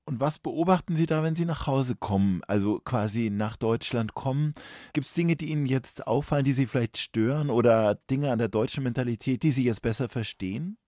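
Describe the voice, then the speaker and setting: A man hosting a radio talk show, asking measured, polite and probing questions of his guest. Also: medium pitch, warm tone, no echo, studio quality.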